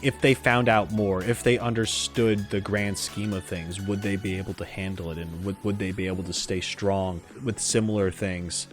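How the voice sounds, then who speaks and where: A man hosting a gaming reaction video, giving casual, informal and expressive commentary. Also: on the low side. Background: music under the voice.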